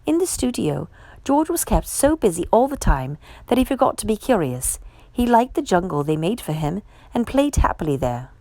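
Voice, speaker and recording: A woman reading a children's picture book aloud with measured, emphatic storyteller phrasing. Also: medium pitch, sing-song, warm tone, dry recording. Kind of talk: expressive children's read-aloud narration.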